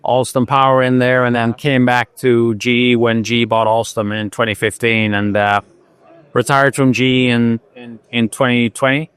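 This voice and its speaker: A man's voice: medium pitch, flat delivery, normal speed, fairly bright tone, clean tone. A man in his sixties from Ireland with a faint Irish accent, an executive answering interview questions in an easygoing way with fillers and restarts. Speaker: company executive guest. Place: trade show floor.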